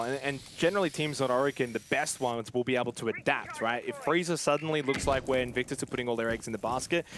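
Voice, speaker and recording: A man's voice: medium pitch, fairly bright tone. A man giving live analysis as an esports co-commentator in a conversational, explanatory way. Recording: clear game noise and clicks behind. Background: game sounds and clicks.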